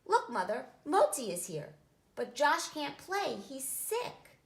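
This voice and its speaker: A woman's voice: sits high, big pitch swings, slow pace, vocal fry, reverberant, voice pushed up, full character voice. A woman voicing an eager, curious little boy spider in a children's storybook, asking wide-eyed questions. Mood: excited.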